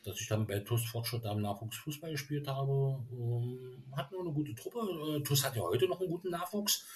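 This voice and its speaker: A man's voice: mid-range voice, moderate pace, darkish tone. Middle-aged guy from berlin rambling through old stories, loads of ums, ordinary mid voice. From Berlin, Germany. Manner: hesitant, many fillers, rambling storytelling. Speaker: interview guest, former youth footballer. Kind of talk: casual conversational interview.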